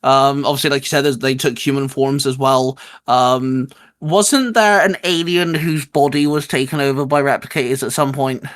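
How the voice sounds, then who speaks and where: A man's voice: mid-range voice, steady tone, normal speed, few pauses, fairly bright tone. A man hosting a casual geek chat, rambling along enthusiastically. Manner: rambling, enthusiastic, repeats filler phrases. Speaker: show host.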